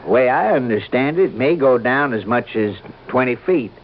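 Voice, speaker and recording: An actor playing a folksy, affable fishing-lodge proprietor, easygoing and mild, in old-time radio drama. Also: male voice, medium pitch, expressive, normal speed, natural voice. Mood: feigned innocence. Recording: muffled, noisy old radio broadcast.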